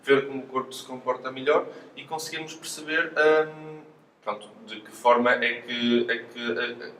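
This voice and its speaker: A man's voice: mid-range voice, fairly even intonation, moderate pace, fairly bright tone. A man with a European Portuguese accent giving careful, hesitant explanations as a physiotherapist in an interview. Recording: echoey room, distant mic, faint noise.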